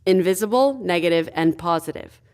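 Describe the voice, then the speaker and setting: A woman playing a sharp-minded, assertive spaceship scientist in an audio drama, speaking in an articulate, direct way. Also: on the low side, lively intonation, fairly quick, lightly acted. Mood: knowing, explanatory.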